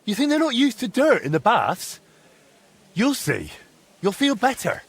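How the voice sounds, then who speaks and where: An actor playing a determined young blacksmith hero in a video game, coaxing and firm, with a husky voice. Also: male voice, very high voice, wildly swooping pitch, unhurried, heavily acted. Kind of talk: video game drama acting.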